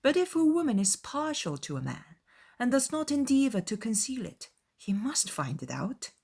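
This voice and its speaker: A woman voicing a pragmatic, unsentimental young gentlewoman giving matter-of-fact advice in a dramatized audiobook. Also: a little high, sing-song, normal speed, creaky, slightly rough, pitched above the performer's own voice, heavily acted. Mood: earnest advising.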